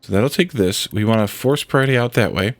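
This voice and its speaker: A man's voice: fairly low pitch, fairly quick, no echo. A man with a congested head cold, casually thinking aloud as he streams a building game. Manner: thinking aloud, muttering to himself while building. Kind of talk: casual let's-play commentary.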